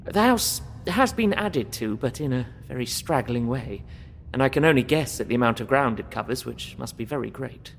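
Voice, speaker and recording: An actor playing an earnest, polite young English solicitor, narrating his journal in measured, bookish Victorian phrasing for an audio drama. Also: medium pitch, expressive, creaky, a little hiss or hum. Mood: observant, measured.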